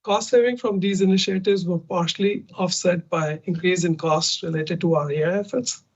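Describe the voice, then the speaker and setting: A man with a notably high voice delivers a scripted financial report as a company executive. He speaks on a conference call, and the audio is somewhat dull and band-limited. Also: darkish tone.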